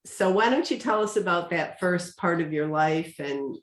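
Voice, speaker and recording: Lower-voiced woman host in a roomy space. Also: lively intonation, normal speed. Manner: encouraging, recaps the guest's points. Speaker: interview host, energy coach. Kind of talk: online interview show.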